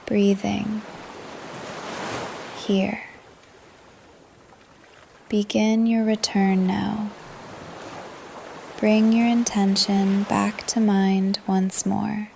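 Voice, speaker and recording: Calm lady doing yoga nidra, super soft n half whispered, really even tone, bit of creaky fry, gentle pauses. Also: mid-range voice, a little hiss or hum. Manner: soft, breathy, often trailing into whisper. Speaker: guided meditation teacher. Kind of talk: guided meditation, yoga nidra narration. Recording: ocean surf under the voice. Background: ocean waves ambience.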